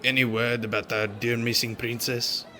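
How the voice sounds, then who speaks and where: A man's voice: mid-range voice, level tone, normal speed, background noise, lightly acted. A man playing a kindly, jovial pony quill seller in a fan radio drama, theatrical and flowery. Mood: gentle.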